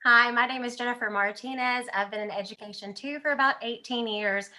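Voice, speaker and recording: A woman fourth grade teacher introducing herself sincerely and calmly on a panel. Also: on the high side, fairly quick.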